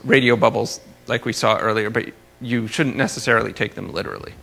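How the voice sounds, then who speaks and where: A man giving an academic conference talk as a researcher, halting and rephrasing as he explains his slides. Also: mid-range voice, creaky, bright, forceful tone. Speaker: astrophysics researcher presenting at a conference.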